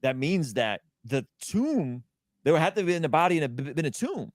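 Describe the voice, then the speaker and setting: A man's voice: on the high side, very animated, moderate pace, no echo, studio quality. A man speaking as a religious apologist in impassioned conversational preaching, repeating phrases for weight.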